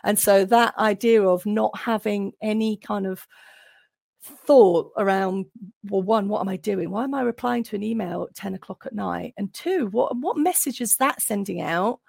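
A woman speaking as an interview guest with a gravelly edge of vocal fry. She talks in a thoughtful, reflective way, repeating words as she works toward each point. The sound is close and dry. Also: medium pitch, normal speed, darkish tone, no room sound. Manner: thoughtful, repeats and restarts while building points. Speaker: guest, occupational psychologist.

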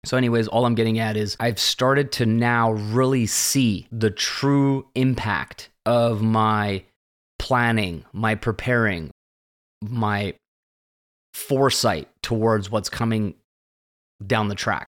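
A man in his late thirties talks alone in a loose, reflective way, circling back on his points and checking in with "right?" His voice sits a little low. He sounds like a host musing to his listeners. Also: slow pace. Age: late 30s. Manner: rambling and conversational, often tags "right?" and "this kind of thing". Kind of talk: reflective solo monologue.

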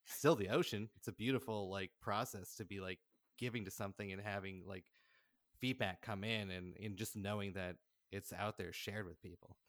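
Bright, lowish-voiced man chatting casually. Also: fairly even intonation, moderate pace, no echo, studio quality. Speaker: podcast guest, audio drama writer. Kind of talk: casual chat.

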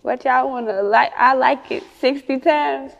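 A woman's voice: high voice, measured pace, creaky, muffled recording. Slangy Chicago woman in an echoey room. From Chicago, United States. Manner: slangy, frequent swearing. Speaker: rapper being interviewed. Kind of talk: casual interview chat.

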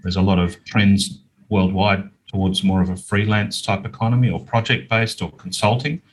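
A middle-aged Australian man explaining things in a measured, conversational way as an interview guest. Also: on the low side, steady tone, a little hiss or hum, rough recording. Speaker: interview guest, business owner.

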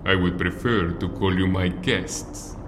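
A man playing a zealous, smooth-talking rebel leader with a grandiose, insistent delivery in an audio drama. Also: low voice, steady tone, a little slow, background noise. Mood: politely menacing.

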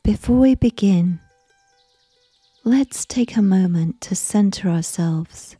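Slow, breathy, near-whispering woman. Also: mid-range voice, no echo, clean studio sound, faint music behind. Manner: gentle, unhurried, soothing delivery. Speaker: guided meditation narrator. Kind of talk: slow scripted sleep visualization, soft and whispery.

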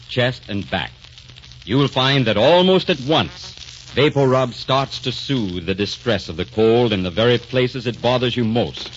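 A male announcer reading a scripted 1940s radio commercial with emphatic, polished salesmanship. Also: mid-range voice. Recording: muffled, faintly noisy 1940s radio recording.

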